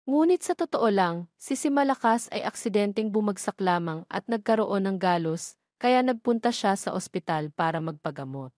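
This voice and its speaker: A woman's voice: mid-range voice, a little mellow, dry recording, studio quality. A woman reading scripted narration of a romance drama as a storyteller.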